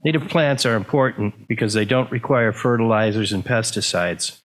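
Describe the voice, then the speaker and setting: A man's voice: medium pitch, normal speed, rough recording. A man reading out a prepared informational presentation in plain, orderly sentences.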